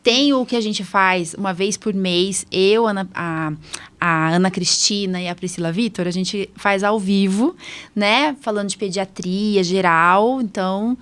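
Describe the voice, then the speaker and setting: A woman's voice: mid-range voice, a little slow, a bit bright, clean tone. Brazilian woman hosting a casual podcast. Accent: Brazilian Portuguese. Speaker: podcast host, allergist doctor.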